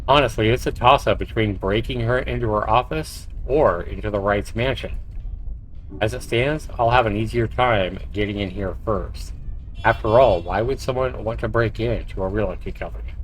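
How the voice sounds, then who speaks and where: A man's voice: on the low side, moderate pace. A man livestreaming a visual novel, reading the narration aloud like a storyteller and dropping in short asides. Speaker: livestreamer, game player. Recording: faint music behind the voice. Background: faint game music.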